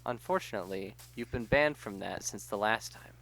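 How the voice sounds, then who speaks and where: A man's voice: lowish voice, moderate pace, gravelly. Playful man in noisy gaming banter. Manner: playful, rambling, breaks into mock singing and silly quotes. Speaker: let's play co-host. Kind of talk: casual group banter while gaming.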